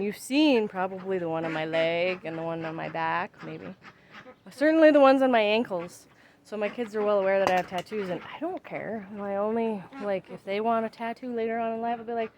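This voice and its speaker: A woman's voice: medium pitch, moderate pace, a little hiss or hum. A woman in her thirties chatting casually in a vlog, rambling and emphatic as she works outdoors in her backyard. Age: 30s. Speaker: vlogger.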